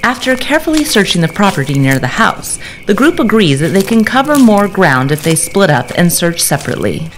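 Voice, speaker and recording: A woman's voice: medium pitch, sing-song, fairly quick, hardly any pauses, slight background noise, close to an everyday voice. A woman playing the storyteller of a Victorian gothic mystery, giving scripted audio drama narration. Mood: suspenseful.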